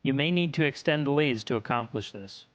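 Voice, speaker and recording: Man, instructional narrator, scripted technical delivery, measured, dry close sound, dull lo-fi recording. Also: medium pitch, animated, no echo.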